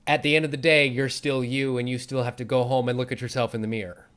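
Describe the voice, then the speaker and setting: A man's voice: mid-range voice, level tone, quick pace, a bit bright, smooth. A man hosting a casual conversational interview, giving encouraging advice in an informal way. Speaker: talk show host.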